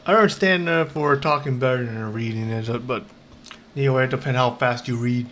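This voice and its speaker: A man chatting casually as a game streamer, rambling and halting, often repeating himself. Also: medium pitch, a bit bright, slight background noise. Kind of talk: casual livestream chat.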